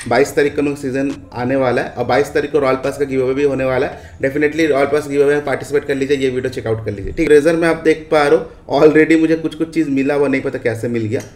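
A man's voice: medium pitch, steady tone, quick pace, few pauses, darkish tone. Indian man commentating, echoey room. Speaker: gaming video host. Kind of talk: casual update walkthrough commentary. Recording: echoey room with faint background noise.

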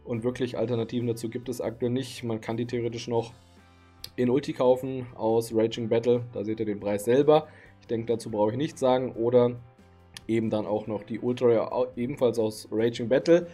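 A man's voice: mid-range voice, fairly even intonation, fairly quick, mellow, soft background music. A man in his thirties hosting a casual card market video from his home streaming room, chatty with lots of fillers, his voice tired late at night. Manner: chatty, frequent fillers, easygoing. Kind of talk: casual market commentary to camera.

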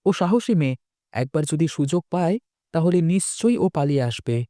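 A man's voice: a little high, lively intonation, brisk, warm tone, no echo, clean studio sound, lightly acted. A man voicing an earnest, loyal, worried village boy in a cartoon, with a pleading way of talking. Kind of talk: dubbed cartoon dialogue.

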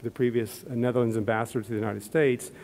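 A middle-aged man with a Pittsburgh accent speaks to an audience from a podium, his pitch sitting mid-range for a man. He talks conversationally, with "um"s and restarts. The sound carries the long reverb of a large hall. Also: steady tone, fast, a little mellow. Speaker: embassy economist and panel moderator. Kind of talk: public talk at a podium. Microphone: podium microphone.

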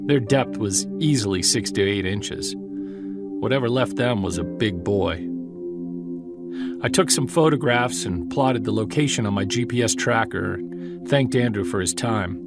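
A man playing an earnest, wry Sasquatch investigator, confiding in first person in an audio drama. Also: fairly low pitch. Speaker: narrator and lead of an audio drama. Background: music.

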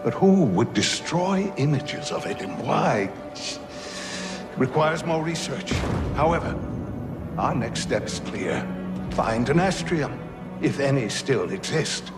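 A man playing a studious Jedi mentor in a video game, explaining in a measured, thoughtful way. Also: mid-range voice, very animated. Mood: absorbed, earnest. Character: studious, earnest male Jedi scholar and mentor. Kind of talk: recorded-message video game acting. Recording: loud music behind the voice.

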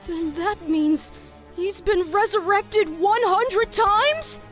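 A woman's voice: very high voice, slow. A woman voicing an anxious, skeptical young boy hero with an exasperated manner in a cartoon fan dub. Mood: uneasy. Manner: exasperated, doubtful. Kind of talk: animated comic fan dub. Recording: music behind.

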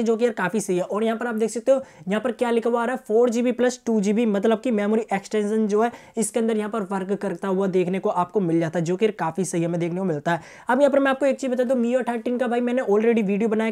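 A man's voice: high voice, warm tone. A young man giving a casual phone feature walkthrough as a tech reviewer, chatting to viewers in a chummy, colloquial way.

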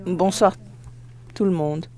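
An interpreter giving a live spoken translation of a formal speech, phrase by phrase, with halting self-corrections. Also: mid-range voice, steady tone, a little mellow, soft background music.

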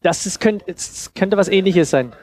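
Higher-voiced man giving an informal talk. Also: normal speed, a little mellow, dry recording. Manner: explanatory, conversational. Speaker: festival speaker, sound designer. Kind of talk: informal lecture with audience questions. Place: indoor festival stage with couch. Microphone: headset.

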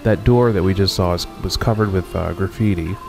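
A man with a fairly low voice narrates a tour in a relaxed, wandering way, pausing often with ums and uhs. Faint music plays underneath him. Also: vocal fry. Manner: unhurried, musing, many ums and uhs. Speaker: video host touring a closed-down mall. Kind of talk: casual walkthrough narration.

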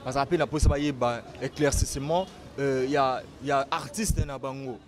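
A man's voice: mid-range voice, fairly even intonation, normal speed. A man in his thirties with a Congolese accent, hosting a casual interview. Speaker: interviewer, show host. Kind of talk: casual TV interview, switching languages. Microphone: handheld interview microphone. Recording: outdoor recording with busy background chatter.

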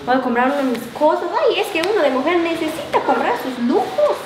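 A woman's voice: high-pitched, sing-song, fairly quick, roomy sound, over music, low-quality recording. A young woman playing a girlfriend who brags about the money her boyfriend sends, in a casual web drama. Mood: boastful. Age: young adult. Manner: chatty, boastful. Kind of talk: amateur web drama acting.

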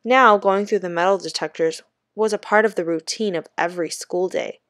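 A woman reading a children's story aloud like a teacher, in a measured, expressive narrating manner. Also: fairly low pitch, dry recording, studio quality.

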